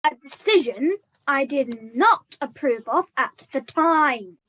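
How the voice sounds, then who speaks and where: A woman's voice: sits high, expressive, slow pace, a bit bright, exaggerated voice, poor audio. A woman playing a haughty, bossy princess puppet in a scripted comedy, speaking in a pompous, formal way. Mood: indignant.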